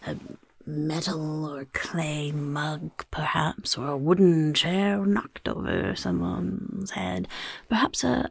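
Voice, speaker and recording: A woman voicing a scheming little goblin overlord who interviews recruits in a soft-spoken ASMR roleplay, whispering smugly. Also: on the low side, no echo, full character voice. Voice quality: gravelly, breathy whisper. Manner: whispery, smug, mischievous questioning. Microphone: close ASMR mic.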